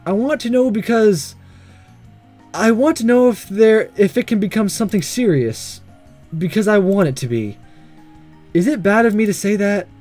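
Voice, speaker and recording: A man voicing an earnest, nervous, lovestruck male high school student in a visual novel, speaking hesitantly. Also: expressive, normal speed, pauses often, a little mellow, soft background music. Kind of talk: reading visual novel dialogue aloud.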